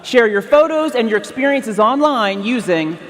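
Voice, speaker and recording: A woman's voice: on the high side, animated, moderate pace. A woman hosting a ceremony from a stage with upbeat scripted announcements. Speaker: ceremony host. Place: large hall on stage. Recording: echoey large hall sound, faint music.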